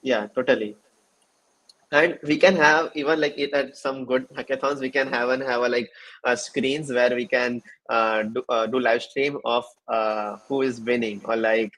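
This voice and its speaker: A man hosting a casual livestream interview, speaking in halting phrases with repeated fillers. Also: medium pitch, steady tone, a bit bright, rough recording. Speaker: host of a developer livestream session.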